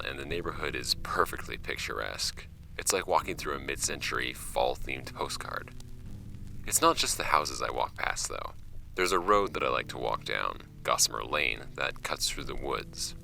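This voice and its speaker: An actor playing a wry, offhand young man narrating his homemade podcast about his late uncle's files in an audio drama, with a gravelly voice. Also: bright, forceful tone, a little hiss or hum, exaggerated voice. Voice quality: very deep, gravelly. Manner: wry, offhand, halting when ad-libbing, measured when reading.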